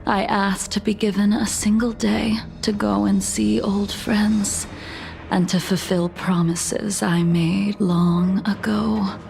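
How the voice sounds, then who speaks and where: A world-weary game swordswoman, calm and solemn. Her speech is very slow and breathy, with a creaky edge to each phrase. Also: mid-range voice, faint music behind. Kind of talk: video game voice acting.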